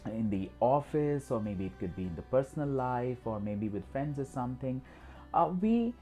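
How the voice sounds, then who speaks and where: A man's voice: medium pitch, very animated, moderate pace, warm tone, soft background music. A man with an Indian accent, a native Hindi speaker, giving an instructional talk as host, repetitive and emphatic with frequent filler phrases. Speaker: host of a skills channel.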